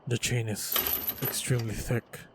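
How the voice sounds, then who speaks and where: A man acting a steady, protective fighter in a fantasy action roleplay, speaking to the listener in short phrases with pauses. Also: medium pitch. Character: steady protective male fighter guiding a princess. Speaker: voice actor in audio roleplay. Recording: noisy, effects-heavy track.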